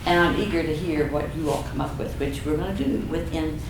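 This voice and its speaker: An older woman with a deep, slightly husky voice, a community speaker opening her talk in a playful, enthusiastic way. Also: fairly even intonation, a little fast. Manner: playful, enthusiastic, self-deprecating. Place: event room with audience. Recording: echoey room, audible noise.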